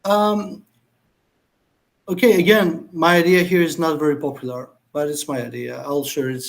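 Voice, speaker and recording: Guy on a video call, kinda gravelly, slightly high voice, keeps restarting his sentences. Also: fairly even intonation. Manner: thoughtful, halting, many restarts. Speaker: guest speaker, conceptual artist. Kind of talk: casual lecture Q&A over video call.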